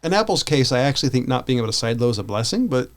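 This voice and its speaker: A man's voice: mid-range voice, big pitch swings, racing. Podcast co-host, American male, mid-range voice, casual and rambling, thinks aloud. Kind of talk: casual tech discussion.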